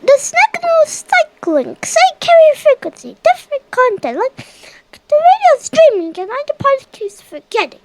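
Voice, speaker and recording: A performer playing an uneasy field reporter lost in a looping forest, sending halting dispatches over the radio in an eerie audio drama. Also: extremely high pitch, very animated, exaggerated voice. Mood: unsettled, alarmed. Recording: very noisy radio transmission with clicks.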